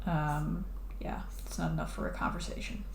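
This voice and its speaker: A woman's voice: deep voice, very animated, normal speed. A woman streaming live while drawing a comic, chatting casually and rambling, with many fillers and restarts. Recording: echoey room, faint background noise.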